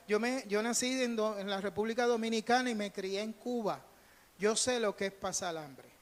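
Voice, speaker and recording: A Puerto Rican man preaching as a pastor in a conversational storytelling style, emphatic, repeating phrases and acting out bits of dialogue. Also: very high-pitched. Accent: Puerto Rican Spanish. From Puerto Rico. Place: church sanctuary during a live service. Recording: reverberant hall, live church service.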